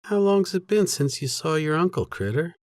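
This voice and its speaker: A man voicing a caring, plain-spoken prairie woman in an audiobook, gentle and matter-of-fact. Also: a little high, lively intonation, normal speed, no room sound, studio quality, pitched above the performer's own voice, lightly acted. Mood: calm, caring. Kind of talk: audiobook character dialogue.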